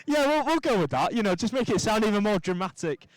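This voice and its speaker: A young man from St Helens, giving lively live rugby commentary with chatty asides. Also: high-pitched, fast talker, no echo. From St Helens, England. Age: young adult.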